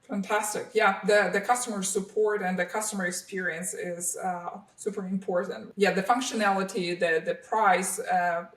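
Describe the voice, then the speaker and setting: A woman's voice: medium pitch, steady tone, normal speed, hardly any pauses. Woman host from Ukraine, hesitant fillers, recorded in an echoey room from a distant mic. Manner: warm affirmations, frequent hesitations and fillers. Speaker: podcast host. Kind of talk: interview hosting.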